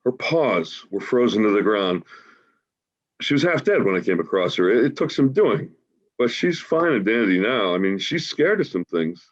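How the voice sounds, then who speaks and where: A man in his forties acting a grieving, wry homeless man in a staged play reading, halting and emotional in a conversational way. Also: lowish voice, very animated. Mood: wry, easygoing. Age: 40s. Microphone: video call. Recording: dull, lo-fi video call audio.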